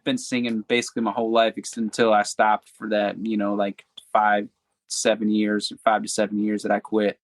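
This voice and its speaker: A man's voice: fairly low pitch, monotone, moderate pace, dry recording. A man on a podcast as a guest artist, talking in a candid, rambling way with restarts and fillers. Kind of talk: casual interview chat.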